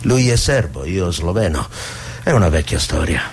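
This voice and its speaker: An actor playing a friendly, wry Slovenian man in a war zone, with a husky voice and casual manner. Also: deep voice, sing-song, moderate pace, heavily acted. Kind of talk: radio drama acting.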